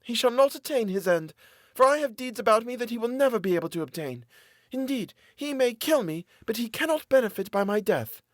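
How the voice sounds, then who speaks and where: A man voicing a frightened young woman locked in an asylum, pleading in a high, desperate voice. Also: animated, brisk, no room sound. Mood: defiant.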